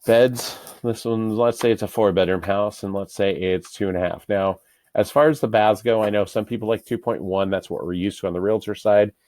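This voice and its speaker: A man's voice: on the low side, brisk, a little mellow, no echo. A man with an American accent walking through an on-screen tutorial step by step in an informal, instructional way. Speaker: real estate broker giving a software tutorial. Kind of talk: casual screen-share tutorial.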